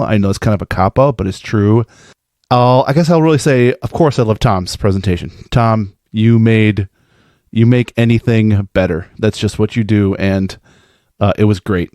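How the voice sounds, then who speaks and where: An American man, a podcaster giving fan feedback in a casual recorded message, talking in an excitable, gushing way. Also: on the low side, no room sound, clean studio sound. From Minnesota, USA.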